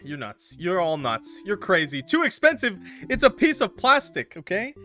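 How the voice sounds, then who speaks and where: A man chatting and commentating on a livestreamed game in an excitable, playfully combative way, bantering with viewers and swearing freely. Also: high voice, normal speed, faint music behind. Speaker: live streamer playing a game. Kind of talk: animated casual livestream chat and game commentary.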